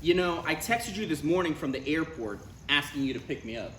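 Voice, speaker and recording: A man plays a defensive son in his thirties at a live comedy table read. His voice is slightly high and jumps around in pitch as he argues in halting, incredulous bursts. The room rings around him with faint noise. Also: lively intonation, fairly bright tone. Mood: annoyed. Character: Ryan, exasperated thirty-six-year-old son, needling and defensive. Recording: roomy live recording, faint background noise.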